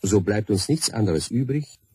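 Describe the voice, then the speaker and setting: A man with a husky voice narrating a children's radio play in a playful, wry storytelling manner. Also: fairly low pitch, lively intonation, moderate pace, warm tone, dry recording. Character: wry male storybook narrator, friendly, unhurried.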